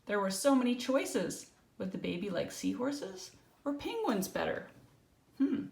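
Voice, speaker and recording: A woman's voice: a little high, sing-song, a little slow, vocal fry, a little mellow. A woman reading a picture book aloud to a child, breaking off for casual explanatory asides and checking in with small questions. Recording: roomy echo, faint background noise.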